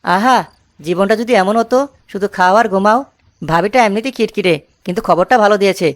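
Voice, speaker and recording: A woman's voice: mid-range voice, fairly quick, close to an everyday voice. A woman voicing a lazy, gluttonous young village man in a cartoon story, whining and carefree. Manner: whining, carefree, dreamy about food.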